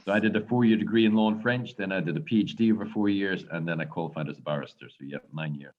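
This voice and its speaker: A man with an Irish accent, a law lecturer and barrister, calmly answering students' questions in an online lecture. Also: sits low, fast. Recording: dull lo-fi audio.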